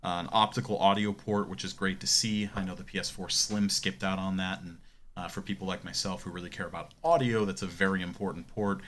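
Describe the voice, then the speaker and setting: A man's voice: low voice, fairly even intonation, a little fast, a bit bright. A man co-hosting a podcast, chatting casually about tech in an opinionated, explanatory way. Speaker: podcast co-host. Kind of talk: casual gaming tech discussion.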